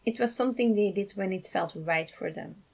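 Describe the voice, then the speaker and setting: A woman narrating a piece of fan fiction in an expressive storytelling style. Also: medium pitch, moderate pace, gravelly.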